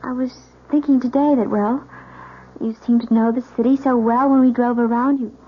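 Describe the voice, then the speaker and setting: An actress playing an anxious young newlywed wife in an old-time radio mystery, halting and pleading, repeating herself. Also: female voice, fairly high pitch, fairly even intonation, natural voice. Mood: uneasy, evasive. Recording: dull old radio recording, faint music.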